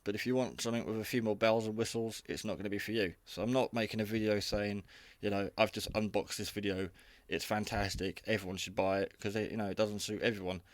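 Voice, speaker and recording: A man's voice: on the low side, steady tone, quick pace, few pauses, dry recording. A man giving a casual, unscripted hobby radio review in a rambling, matter-of-fact, modest way.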